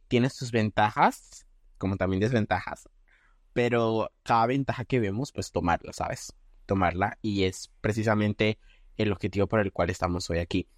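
Venezuelan man in his twenties, podcast host, halting chatty delivery with restarts, dry close mic. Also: lowish voice, no echo. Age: 20s. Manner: halting, many restarts and fillers. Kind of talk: casual podcast chat.

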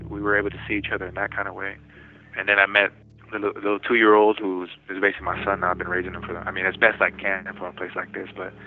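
A man in his forties talking casually from prison over the phone, halting and often restarting his words. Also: on the low side. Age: 40s. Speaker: interviewee in prison. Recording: tinny prison phone line.

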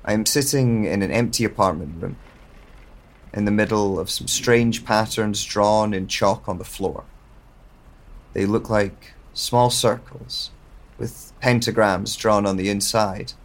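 Slow, low-voiced amnesiac man, acted. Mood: wary, observing. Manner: measured, pensive, halting. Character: confused amnesiac man waking alone, searching for answers. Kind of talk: audio drama first-person narration.

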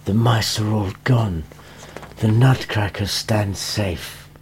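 A man voicing a gentle doctor who speaks softly and slowly. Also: lowish voice, noisy background, voice pushed down. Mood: soothing. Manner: soft, slow, soothing. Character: gentle, soft-spoken male doctor.

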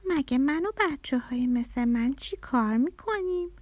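Small butterfly child for a storybook: very high, emotional, quick to sulk, animated. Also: female voice, no echo. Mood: puzzled. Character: little girl butterfly, emotional, excitable, sulky.

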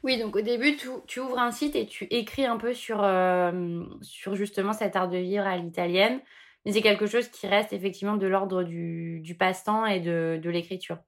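A woman hosting a podcast, asking a guest casual questions in a halting, filler-heavy way. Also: medium pitch. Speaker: podcast host.

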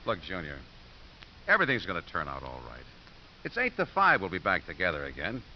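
Tough tender dad, American, very low pitch, colloquial, old radio acting, muffled hissy recording. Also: male voice, wildly swooping pitch, a little fast. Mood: reassuring. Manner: gruff, colloquial, fatherly. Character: tough, tender, plain-spoken football coach and father. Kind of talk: 1950s radio drama acting.

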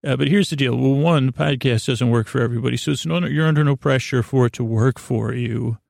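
A man hosting a sleep podcast in a creaky, soothing voice, meandering and halting through a lulling bedtime monologue full of digressions. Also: medium pitch, a little mellow, no room sound, clean studio sound. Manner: meandering, halting, over-talking with many digressions. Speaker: podcast host.

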